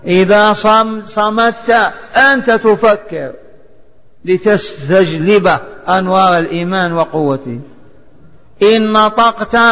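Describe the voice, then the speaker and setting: A man's voice: high-pitched, fairly bright tone. A man teaching a religious lesson, chanting the text in a sing-song and having it repeated. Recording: long hall reverb.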